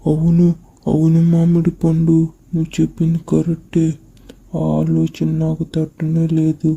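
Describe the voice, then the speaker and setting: A woman voicing a naive, gullible, slow-witted talking watermelon in an animated moral story. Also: deep voice, creaky, breathy edge, dull audio, exaggerated voice. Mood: agreeable, trusting.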